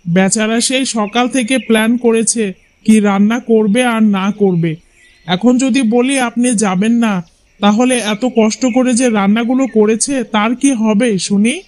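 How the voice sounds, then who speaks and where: A man's voice: high-pitched, fairly even intonation, moderate pace, a little mellow, lightly acted. A man voicing a chatty, opinionated husband in a cartoon folk tale, with a coaxing manner. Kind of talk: Bengali cartoon fairy-tale voice acting.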